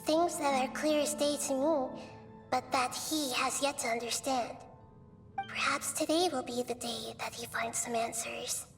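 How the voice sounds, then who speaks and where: A small young goddess in a video game, with a high, girlish voice. She sounds wise and gentle, explaining things in a composed, measured way. Soft music sits faintly behind her. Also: fairly even intonation. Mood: calm, knowing.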